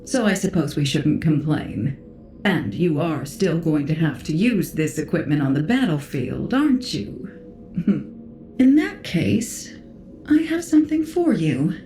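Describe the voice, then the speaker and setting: Self-assured female engineer, teasing, swooping intonation, video game dialogue, roomy echo, soft music behind. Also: deep voice. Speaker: game character.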